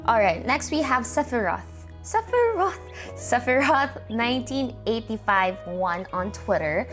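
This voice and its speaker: A woman's voice: fairly high pitch, sing-song, a little slow, faint music behind. A woman with a Filipino accent, hosting and chatting casually as she looks over viewers' setups. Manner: chatty, upbeat, thinks aloud. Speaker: host reviewing viewer gaming setups. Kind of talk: casual commentary.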